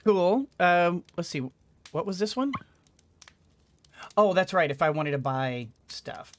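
A man's voice: high-pitched, expressive, takes it slowly, slight background noise. A middle-aged man giving casual gameplay commentary, muttering to himself as he plays. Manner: muttering to self while playing. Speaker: game streamer. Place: indoor room at a desk.

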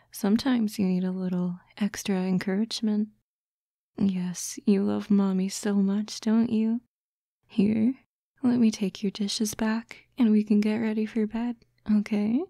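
A woman voicing a doting, teasing fox-girl girlfriend in a soft, breathy, coaxing ASMR roleplay, close to the microphone. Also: mid-range voice, no echo. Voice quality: soft, breathy, often whispering. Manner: slow, coaxing, cooing. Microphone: close, dry microphone.

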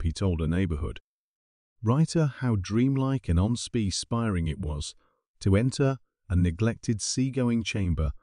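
A man reading a scripted documentary narration in a steady, even voice-over. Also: lowish voice, no echo, clean studio sound. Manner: steady, even reading of garbled paraphrased text.

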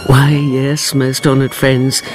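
A woman's voice: a bass voice, level tone, takes it slowly, soft background music. A woman playing a sly, knowing narrator in a radio drama, theatrically savoring each literary phrase.

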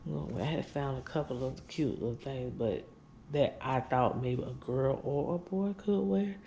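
Weary woman vlogging from her couch, gravelly. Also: extremely low pitch, moderate pace, dark tone, a little hiss or hum. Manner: chatty, tired, addresses viewers as y'all. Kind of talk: casual chat to viewers. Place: living room, on couch, indoors. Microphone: handheld camera at close range.

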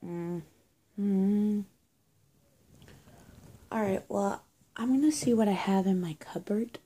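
A young woman improvising a witch roleplay to camera in a halting, confiding, breathy voice that often drops toward a whisper. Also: mid-range voice, dark tone. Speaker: roleplay video creator. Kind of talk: improvised roleplay to camera, playing a witch caring for someone hurt. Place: small indoor room with bare walls. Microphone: phone or webcam at arm's length.

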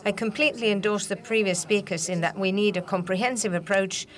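A woman's voice: medium pitch, steady tone, a little fast. A woman interpreting a conference speech simultaneously, with frequent hesitations and filler sounds as she renders it.

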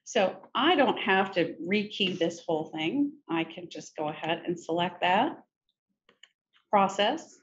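A middle-aged woman presenting a software demo in a conversational, informal step-by-step tutorial style. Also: on the low side, animated, measured pace. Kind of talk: conversational screen-share tutorial. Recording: muffled, noisy screen-recording audio.

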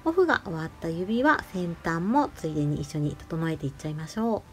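Woman, tutorial voice-over, polite explanatory delivery, animated pitch, medium register, background music. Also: fairly quick. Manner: polite, explanatory, with gentle advice to viewers. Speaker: nail artist narrating her tutorial. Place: voice-over over close-up salon footage.

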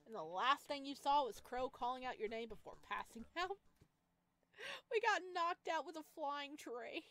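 A woman reading a visual novel aloud in an expressive way, adding casual asides as a streamer. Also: high-pitched, moderate pace. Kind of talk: lively read-aloud of a game with casual commentary.

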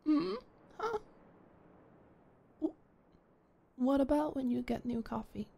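A young woman in an ASMR roleplay, talking shyly and stammering as a flustered neighbor, sometimes dropping to a soft whisper. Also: high voice, expressive, slow, creaky, slightly rough. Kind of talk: ASMR roleplay, one-sided dialogue.

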